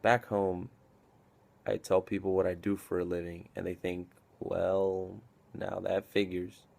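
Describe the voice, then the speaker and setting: A weary army captain, acted by a man, with a low voice full of creak. He speaks in a subdued, hesitant, confessional way, as in a reflective war film monologue. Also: little pitch movement, a little slow, a little mellow.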